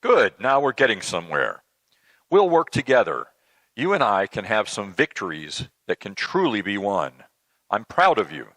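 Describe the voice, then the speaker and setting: Man as a patient, teasing deity: conversational, admonishing, lively intonation, dry close sound, church sketch. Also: on the low side, normal speed, no room sound, exaggerated voice, rough recording. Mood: encouraging. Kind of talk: dramatic reading of a comic church sketch.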